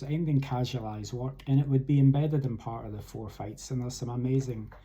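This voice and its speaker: A middle-aged Scottish man speaks straight to camera, pitching himself as a candidate in an earnest, persuasive way. His voice is very dark and mellow and sits at an ordinary pitch for a man. He keeps a steady flow, with only the odd "uh". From Scotland. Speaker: union election candidate, academic psychologist. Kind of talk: campaign video address.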